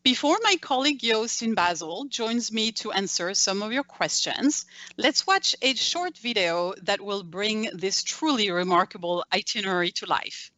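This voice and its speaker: Female presenter, French accent, native French speaker, measured scripted delivery, dry recording. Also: mid-range voice, expressive, pushed and bright, no echo. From France. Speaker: company presenter.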